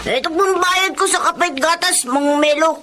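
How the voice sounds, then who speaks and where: A woman's voice: extremely high pitch, normal speed, noisy background. A Filipino woman playing a polite, honest young boy in a radio drama, courteous and innocent.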